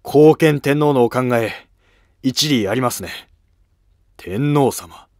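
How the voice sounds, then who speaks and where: A man's voice: medium pitch, slow, darkish tone, no echo, clean studio sound. A man playing an earnest, hawkish court minister in a historical drama, formal and deferential.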